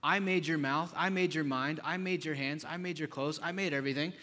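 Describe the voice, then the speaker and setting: A man voicing God as an all-powerful male creator, speaking with loud, emphatic rhetorical questions. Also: on the high side, brisk, pitched above the performer's own voice. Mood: exasperated, booming. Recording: long reverb in large hall.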